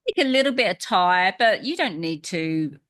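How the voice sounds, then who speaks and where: A woman in her fifties, a podcast guest who tells stories in a lively way and acts out her own reactions. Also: on the low side, normal speed. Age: 50s. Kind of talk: casual conversation.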